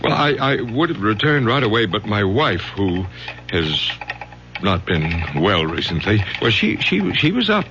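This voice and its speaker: An actor playing an anxious, hesitant, educated middle-aged professor in a radio drama. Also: male voice, fairly low pitch, big pitch swings, a little slow. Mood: anxious, halting. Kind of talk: 1970s radio drama acting. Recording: noisy muffled old radio, typing behind.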